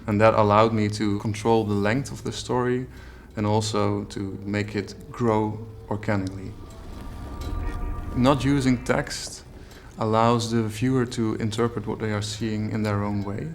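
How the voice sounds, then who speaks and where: A man in an interview, reflecting on his creative work as an illustrator in a measured, explanatory way. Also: lowish voice, steady tone. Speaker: illustrator and storyteller. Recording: music behind the voice.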